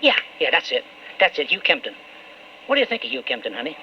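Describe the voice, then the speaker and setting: An actor playing an eager, boastful Southern politician husband in a folksy, coaxing way, in an old-time radio drama. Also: male voice, a little high, big pitch swings, fast. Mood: folksy, musing. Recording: muffled, noisy old radio recording.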